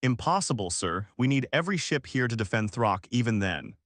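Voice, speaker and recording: A man's voice: medium pitch, sing-song, brisk, a bit bright, dry recording, clean studio sound. A man playing a stressed, scaly female alien fleet coordinator who gives anxious military reports in a story. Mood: bleak.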